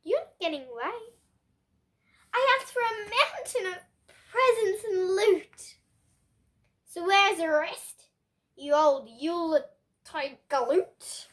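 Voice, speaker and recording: A woman's voice: very high voice, lively intonation, slow pace, pauses often, voice pushed up, heavily acted. A young girl voicing a greedy, cranky, demanding little male pug dog from a storybook. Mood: angry, demanding. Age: child. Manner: demanding, shouting.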